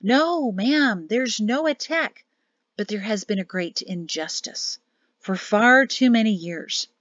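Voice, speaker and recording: A woman voicing a male military officer in an audiobook, wryly kind, polite and measured, with an air of command. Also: mid-range voice, animated, a bit bright, dry recording, lo-fi sound. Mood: grave, measured. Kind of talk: acted audiobook dialogue.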